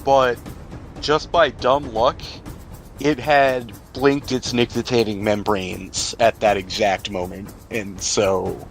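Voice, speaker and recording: A man's voice: fairly low pitch, flat delivery, slow. A man acting as game master, narrating the action and rules of a tabletop game in a conversational, descriptive way. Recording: background music bed under voice. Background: music.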